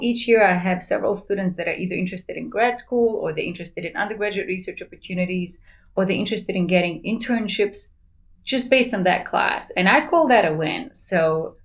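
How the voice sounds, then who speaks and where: A Bosnian-accented woman in her forties talks earnestly as an interview guest, her voice sitting low with a gravelly fry at the edges. She sounds enthusiastic and chuckles between thoughts. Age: 40s. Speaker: plant pathology researcher, podcast guest. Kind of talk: casual interview conversation.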